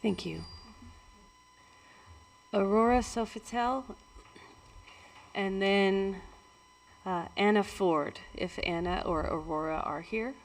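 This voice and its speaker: A woman chairs a city council meeting as mayor in a council chamber. She talks slowly and evenly in a mid-range voice, keeping to procedure. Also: medium pitch. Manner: measured, procedural.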